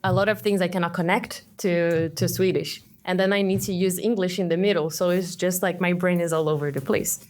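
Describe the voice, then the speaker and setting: A woman's voice: on the low side, steady tone, brisk, hardly any pauses, a little mellow. A woman whose first language is Portuguese, a student of Swedish chatting and telling stories on a podcast. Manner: chatty, laughs easily, storytelling. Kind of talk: podcast conversation.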